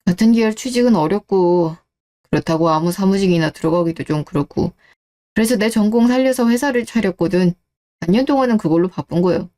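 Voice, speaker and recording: A woman's voice: medium pitch, lively intonation, a little fast, warm tone, natural voice. A woman voicing a defensive, desperate disgraced husband, pleading and justifying himself in radio-drama dialogue. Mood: evasive, ashamed.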